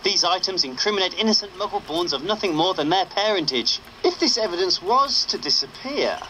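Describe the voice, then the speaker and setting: An actor playing a wry young rebel wizard radio announcer in a video game, with punchy, sarcastic delivery. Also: male voice, high voice, lively intonation, a little fast, bright, forceful tone, slight background noise, rough recording.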